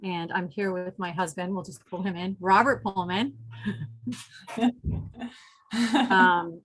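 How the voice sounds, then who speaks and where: A woman's voice: fairly low pitch, fairly even intonation, slow, fairly bright tone. A woman casually introducing herself to a group on a video call from home. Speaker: actress and production designer.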